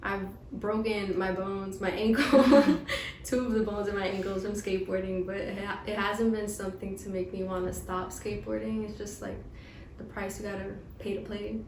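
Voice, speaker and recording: A young woman of nineteen talks thoughtfully about skating. Her pitch barely moves. The room echoes, and steady background noise is clearly audible. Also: moderate pace, recorded from a distance. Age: young adult. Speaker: skater. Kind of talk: casual interview answers.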